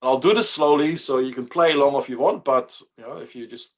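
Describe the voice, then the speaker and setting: A man's voice: mid-range voice, fairly quick. A man whose first language is Dutch presents an online coding workshop as the presenter, talking casually with many fillers and restarts over a computer mic on a video call.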